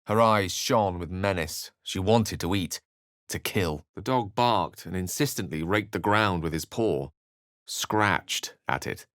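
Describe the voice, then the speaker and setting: A man's voice: low-pitched, unhurried, a bit bright. A man voicing a learned, wry and romantic wizard in a video game, speaking in an articulate, theatrical way. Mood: hushed, storytelling. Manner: theatrical, articulate, literary phrasing. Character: learned human male wizard, eloquent, wry, romantic and dramatic. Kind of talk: video game character voice acting.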